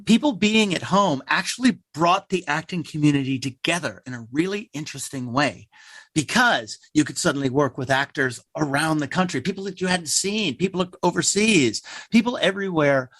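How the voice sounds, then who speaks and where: A man's voice: fairly high pitch, expressive, normal speed, a bit bright, no room sound. A man speaking conversationally as a theater guest in an interview, telling things like a storyteller.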